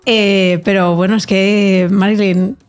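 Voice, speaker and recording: A young woman hosting a casual podcast, reading sponsor lines and introducing a guest in a lively way, close on a desk mic in a studio. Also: mid-range voice, takes it slowly, smooth, soft background music. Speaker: podcast host. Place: podcast studio with plants and a backdrop.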